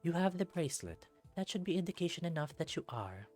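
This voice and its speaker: A man voicing a stern, formal female bear superhero in a visual novel, speaking in measured, deliberate phrases. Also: fairly high pitch, big pitch swings, darkish tone, faint music behind, voice pushed up. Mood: stern, detached.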